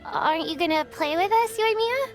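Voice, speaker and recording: A woman voicing eager little village children in a game, chirpy and excited. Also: extremely high pitch, moderate pace. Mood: cheerful. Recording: game dialogue over loud music.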